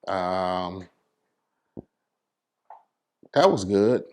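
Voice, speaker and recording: A man's voice: sits low, expressive, very slow, a little mellow, clear, resonant tone. An American man speaking casually as an interview guest, colloquial and full of conversational check-in phrases. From New York City, USA. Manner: colloquial, peppered with "you know what I'm saying".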